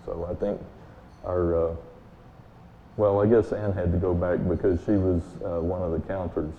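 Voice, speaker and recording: A man with a booming voice giving a guest sermon in a church, telling stories in a folksy, relaxed way with many fillers. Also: moderate pace, slight background noise. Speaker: guest speaker. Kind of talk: sermon with personal story. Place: church sanctuary. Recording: echoey hall, tinny phone-like sound.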